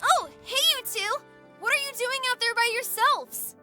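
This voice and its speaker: A woman's voice: very high voice, moderate pace, music in the background. A woman playing a kind, earnest kid, wise beyond their years, in an audio drama. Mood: cheerful. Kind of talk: scripted audio drama acting.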